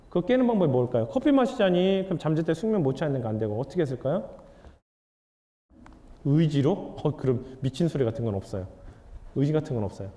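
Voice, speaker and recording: Animated man lecturing in a reverberant hall. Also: a little high. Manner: asks the audience questions, conversational and candid. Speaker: author giving a lecture. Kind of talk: lecture to an audience.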